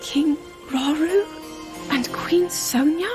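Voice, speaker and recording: Young game princess, high voice, slow delivery, gentle, hesitant, earnest, dramatic. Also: female voice, music in the background. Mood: hesitant, surprised. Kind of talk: dramatic game cutscene acting.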